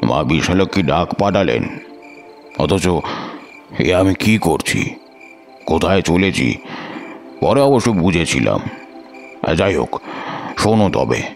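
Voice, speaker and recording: An actor playing an aging tantric storyteller who muses slowly, in old-fashioned phrasing, about his past. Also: low-pitched, sing-song, creaky, soft background music, full character voice. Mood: reflective. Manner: slow, musing, old-fashioned speech. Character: aging male tantric storyteller, weary and reflective. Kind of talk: audio drama narration and dialogue.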